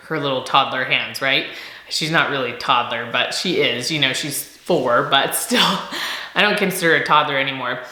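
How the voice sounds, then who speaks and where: A woman in her thirties, a homeschooling mom, chatting casually in a vlog, slightly nasal from a cold, upbeat and quick to laugh. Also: on the low side, bright, forceful tone, echoey room. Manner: upbeat, laughs often, repeats words for emphasis.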